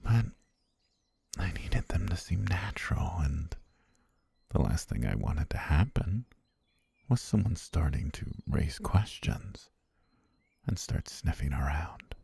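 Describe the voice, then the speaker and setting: Casting: male actor as obsessive genteel butler captor, extremely low gravelly voice, slow and softly menacing, for fantasy ASMR roleplay. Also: very animated, no room sound, heavily acted. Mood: smug, confiding. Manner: genteel, unhurried, softly menacing, often near whisper. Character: obsessive, sweetly menacing butler holding a princess captive.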